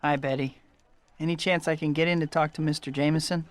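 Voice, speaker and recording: Actor playing an earnest young hero. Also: male voice, on the high side, fairly even intonation, brisk, slight background noise, lightly acted. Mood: anxious. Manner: earnest, polite, eager. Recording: video game cutscene with loud music.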